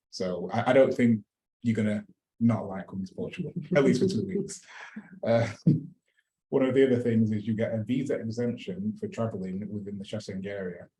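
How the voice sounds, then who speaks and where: A man explaining a residency program in a relaxed, chatty way, with fillers and restarts. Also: on the low side, rough recording. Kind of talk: informational talk.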